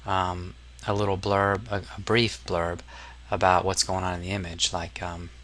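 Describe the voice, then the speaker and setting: A man narrating a casual software tutorial as an instructor, explaining step by step in an informal, somewhat hesitant way. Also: low-pitched, little pitch movement, moderate pace.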